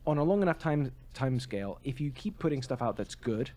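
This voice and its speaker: A man's voice: mid-range voice, lively intonation, fairly quick, a little mellow. A man co-hosting a podcast in casual, opinionated chat, emphatic and prone to swearing. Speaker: podcast co-host.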